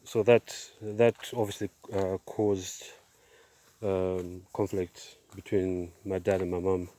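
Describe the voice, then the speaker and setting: A middle-aged man giving a personal testimony outdoors, speaking in a halting, measured way with pauses. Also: deep voice, level tone, mellow. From Zimbabwe, born in Zambia. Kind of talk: personal testimony, casual monologue. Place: outdoors, on a walk.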